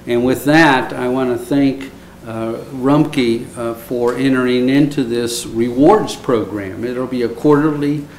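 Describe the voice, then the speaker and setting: A man speaking as mayor, halting and hesitant, leaning on filler words. His voice rings through a large council chamber. A faint room hum sits underneath. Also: mid-range voice, steady tone, a little mellow, reverberant, rough recording. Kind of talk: speech at a city council meeting.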